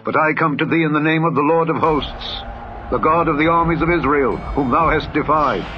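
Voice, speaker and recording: Guy doing a bold young shepherd hero, booming and defiant, voice goes way up, music behind. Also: a little fast, highs cut off, lightly acted. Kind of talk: old-fashioned scripture reading.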